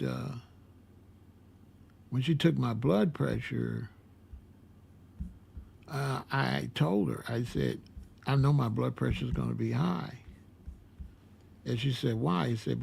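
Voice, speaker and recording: Gravelly man in his sixties, rambling. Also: mid-range voice, a little mellow. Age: 60s. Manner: rambling, repeats himself, many fillers. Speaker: vlogger. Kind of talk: casual storytelling monologue.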